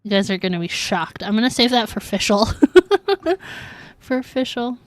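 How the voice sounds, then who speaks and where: A woman's voice: mid-range voice, moderate pace, no echo. A woman livestreaming a game, chatting casually and informally with her viewers. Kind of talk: casual chat while streaming gameplay.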